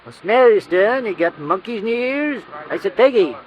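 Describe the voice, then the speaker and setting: An actor playing a cantankerous, folksy cowboy drive-in manager with an American Western drawl in an old radio drama. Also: male voice, high-pitched, lively intonation, normal speed. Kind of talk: 1950s radio comic character acting. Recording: muffled, noisy old radio recording.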